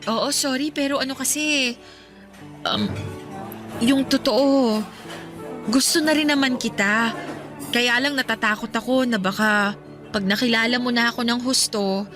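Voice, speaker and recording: A woman's voice: a little high, measured pace, long pauses, over music, lightly acted. An actress playing a young working woman who hesitantly and apologetically explains why she is not ready for romance. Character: young working woman caring for her family. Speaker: radio drama actress. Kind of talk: radio drama acting.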